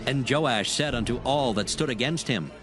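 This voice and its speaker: A man narrates a dramatized scripture story with solemn, measured delivery. His voice sits at a medium pitch, with music playing softly behind it.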